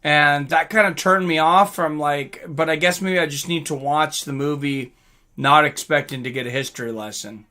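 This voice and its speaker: Man, livestream host, higher-pitched, bright forceful voice, casual, excitable, informal, reacting to chat.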